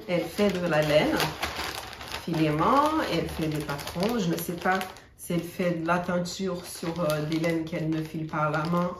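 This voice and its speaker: Native Portuguese woman, knitting vlogger, hesitant casual chat, faint background noise. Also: on the low side. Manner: chatty, many hesitations and restarts. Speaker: knitting podcaster. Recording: echoey room, mic at a distance.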